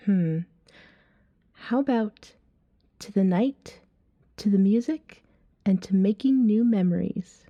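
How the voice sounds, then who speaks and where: A woman playing a flirty, self-assured female vampire in an ASMR roleplay, teasing a stranger at a bar in a soft-spoken, breathy way. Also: mid-range voice, no echo, clean studio sound. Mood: teasing, persuasive. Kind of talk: ASMR roleplay, acted one-sided dialogue.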